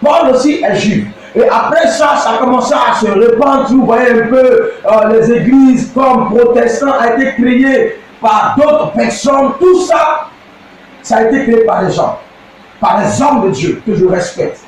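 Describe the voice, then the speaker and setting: A man from Côte d'Ivoire with an Ivorian French accent, preaching an impassioned address as a pastor, often shouting and drawing out words for emphasis. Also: very high voice, very echoey, recorded from a distance, a little hiss or hum, poor audio. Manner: declamatory, often shouting, with slow emphatic stretches.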